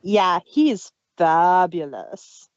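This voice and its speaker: A woman's voice: fairly low pitch, expressive, very slow, no room sound. A woman hosting a show, chatting casually and telling anecdotes on a dull, lo-fi recording. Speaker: host of an audio drama review.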